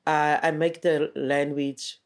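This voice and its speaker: A South African poet whose first language is Afrikaans, speaking English with a South African accent, giving slow, reflective interview answers with many pauses and fillers. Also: mid-range voice, level tone.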